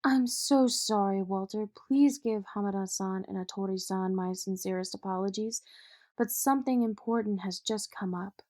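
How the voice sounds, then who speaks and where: A woman acting in an audio drama as a poised, protective, wealthy mother and executive, measured, polished and dryly witty. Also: medium pitch, a little mellow, close to an everyday voice. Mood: cool, commanding. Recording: music behind the voice.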